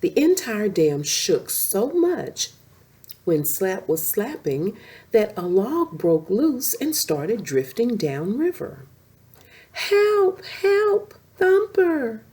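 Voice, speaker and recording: Female children's storyteller, mellow tone, unhurried delivery, lively expressive read for bedtime audiences. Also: medium pitch. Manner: animated, slow-paced reading addressed to young children.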